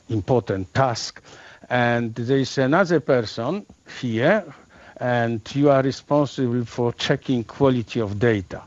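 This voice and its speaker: A man's voice: medium pitch, muffled recording. A man interviewing staff on a live webcast, asking short questions in non-native English.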